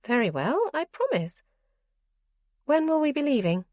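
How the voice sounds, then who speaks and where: A woman's voice: high-pitched, big pitch swings, measured pace, darkish tone, no echo, clean studio sound, voice pushed up. A woman voicing an earnest young noble wife who speaks in an eager, insistent way.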